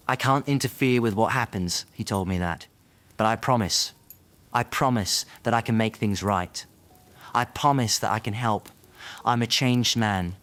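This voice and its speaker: A man acts out a reformed dark wizard pleading his case in a fantasy audio drama. He is defensive and insistent, with a defiant, sarcastic edge and a mid-pitched voice. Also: close to an everyday voice. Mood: earnest, resolute. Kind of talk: fan audio drama acting.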